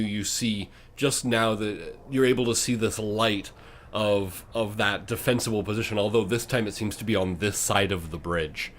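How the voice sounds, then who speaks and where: A man with a fairly low voice runs a fantasy tabletop game as game master. He tells the scene in a dramatic, descriptive way, over faint background noise.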